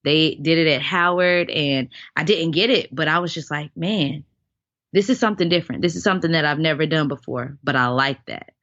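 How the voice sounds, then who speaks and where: Low-voiced woman telling earnest stories. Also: a little fast, dry recording, lo-fi sound. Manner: earnest, animated storyteller. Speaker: guest, dancer and choreographer. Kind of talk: casual interview storytelling.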